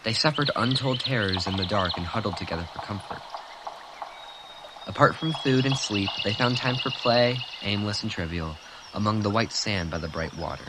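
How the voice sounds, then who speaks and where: Brief: male read-aloud narrator, quiet steady delivery. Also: fairly low pitch, normal speed, heavy background noise. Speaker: reader. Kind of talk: reading a graphic novel aloud.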